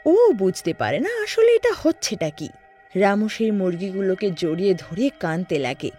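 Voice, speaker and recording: A woman's voice: mid-range voice, very animated, normal speed, mellow, soft background music. A woman narrating a scripted story in a dramatic storytelling style.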